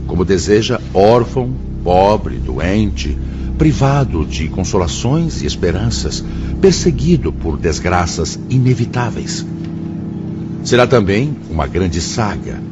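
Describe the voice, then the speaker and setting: A Brazilian actor playing a solemn, kindly spirit mentor in a radio drama, speaking in a measured, formal, sermon-like way. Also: male voice, sits low, sing-song. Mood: solemn, exhorting. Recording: dull lo-fi audio with background music.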